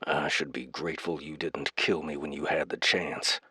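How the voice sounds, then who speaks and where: A menacing space marshal, extremely low pitch, husky, whispered probing delivery, comm link, dry audiobook sound. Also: male voice, steady tone, moderate pace, sharp tone, no echo, full character voice. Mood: quiet menace. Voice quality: very deep, husky.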